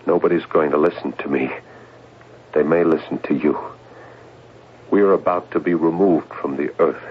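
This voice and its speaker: An actor in a 1970s radio drama playing an agitated, paranoid middle-aged intelligence agent, intense and halting in delivery. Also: male voice, extremely low pitch, fairly even intonation. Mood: shaken. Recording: muffled, noisy old radio broadcast.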